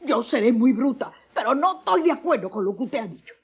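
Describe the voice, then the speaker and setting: A lo-fi old radio soap voice: a humble, loyal servant woman. She is high-pitched and swoops emphatically through her protesting, rustic speech. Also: animated, fairly quick, husky. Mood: indignant, devoted. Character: humble, fiercely loyal, unschooled female servant. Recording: dull, lo-fi old radio recording.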